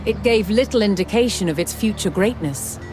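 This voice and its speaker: A woman reads scripted historical narration for a game in a measured, dramatic storytelling manner, with deliberate pauses. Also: medium pitch, lively intonation, poor audio. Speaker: documentary-style game narrator. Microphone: studio voice-over microphone. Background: music.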